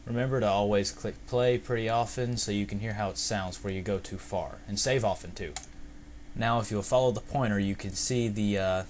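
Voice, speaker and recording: A man with a somewhat low voice narrates a software tutorial step by step. His delivery is informal and unscripted, explaining things casually as he goes. The amateur home recording carries a faint, steady fan-like noise behind him. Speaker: video tutorial presenter.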